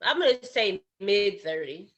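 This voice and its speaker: A woman's voice: medium pitch, big pitch swings, unhurried, fairly bright tone. A woman in her thirties co-hosting a casual podcast chat, talking frankly with plenty of slang. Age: 30s. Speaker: podcast co-host.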